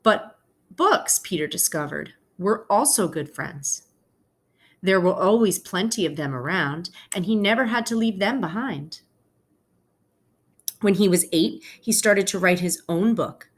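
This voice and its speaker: A woman's voice: medium pitch, lively intonation, measured pace. A woman teacher speaking to students as she reads a children's picture book aloud, explaining things informally and phrasing carefully.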